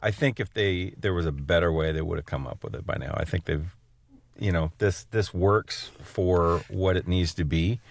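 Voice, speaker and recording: A man co-hosting a podcast in a casual, thoughtful style, feeling out his phrases in short halting pieces. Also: sits low, vocal fry. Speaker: podcast co-host, interviewer. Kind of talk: casual chat.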